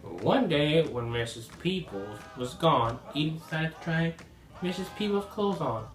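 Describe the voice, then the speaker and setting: Man playing a lively old husband storyteller, echoey. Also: on the high side, a little slow. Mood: storytelling. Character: rambling old spouse storyteller, mischievous and blunt. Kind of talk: amateur comic storytelling. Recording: echoey room, music behind.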